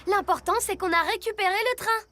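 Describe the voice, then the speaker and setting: An actor playing an earnest, reassuring young child superhero in an animated cartoon, with a childlike delivery. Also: very high voice, fairly quick, very noisy. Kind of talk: animated cartoon dub acting.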